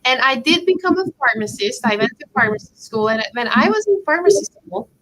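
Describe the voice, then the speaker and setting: A woman's voice: sits high, animated, fairly quick, fairly bright tone, a little hiss or hum, poor audio. A woman, a professor giving a friendly chemistry talk to children over a video call and checking in with them often. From Hungary. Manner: encouraging, checks in often with the kids. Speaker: professor teaching a kids' chemistry camp.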